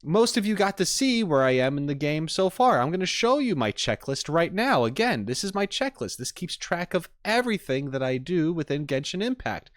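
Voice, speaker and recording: A man chatting casually on a livestream as he reacts to game trailers, rambling in an animated, self-deprecating way. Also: a little high, moderate pace, hardly any pauses, dry recording, studio quality.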